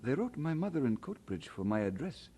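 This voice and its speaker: A man's voice: medium pitch, sing-song, fairly quick, warm tone, slight background noise, close to an everyday voice. An actor playing a homesick, hesitant young immigrant man in an old radio drama. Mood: nostalgic, eager. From Scotland. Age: young adult. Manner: hesitant, musing, given to chuckling.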